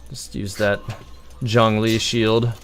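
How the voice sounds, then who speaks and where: A man with a fairly low voice streams a video game live. He talks casually and rambles along, thinking out loud as he plays. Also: level tone, slow pace. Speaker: game livestreamer. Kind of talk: casual live gameplay commentary.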